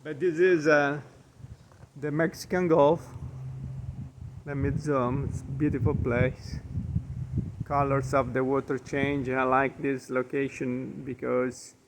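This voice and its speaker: Italian-accented chef with a gravelly voice. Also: male voice, medium pitch, level tone, slow, long pauses, slight background noise, rough recording. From Italy. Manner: rambling, frequent ums and uhs, hesitant English. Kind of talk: casual cooking vlog walk-through. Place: outdoors by the Gulf of Mexico in Florida.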